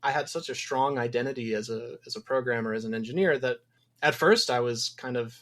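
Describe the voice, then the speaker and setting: A man's voice: mid-range voice, a little fast, a bit bright. A man from Wisconsin, a game developer guest in a casual podcast interview, talking thoughtfully with many ums. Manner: thoughtful, rambling with frequent ums.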